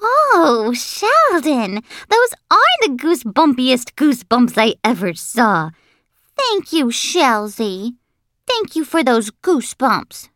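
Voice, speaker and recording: Casting: woman to play a boastful, self-admiring little girl for a children's audiobook, high voice, showy gushing delivery. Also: very animated, slow pace, fairly bright tone, no echo, clean studio sound, exaggerated voice. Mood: gushing, smug.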